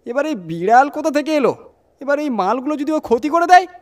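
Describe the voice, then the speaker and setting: Irritable, rattled male trucker for horror audio drama, acted by a male narrator, pitched very high, agitated delivery. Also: big pitch swings, fairly quick. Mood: annoyed, exasperated. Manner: agitated, exclaiming in questions. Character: Ajay, irritable, frightened male truck driver.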